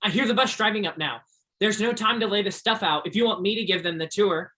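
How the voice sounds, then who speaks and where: A man's voice: sits high, brisk, bright, forceful tone, rough recording. A man playing a reluctant, dreamy teenage boy in a stage play, earnest and grumbling.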